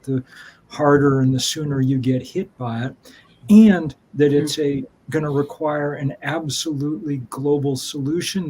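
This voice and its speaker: A man's voice: on the high side, a little mellow, a little hiss or hum, low-quality recording. A man speaking as a panelist on a video call, earnest and conversational, with restarts.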